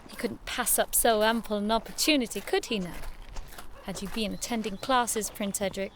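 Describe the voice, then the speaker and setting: A woman's voice: medium pitch, normal speed, slight background noise, natural voice. An actress playing a sarcastic, fiery young noblewoman in an audio drama, with arch, biting, formal wit. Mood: bitter, biting. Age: young adult.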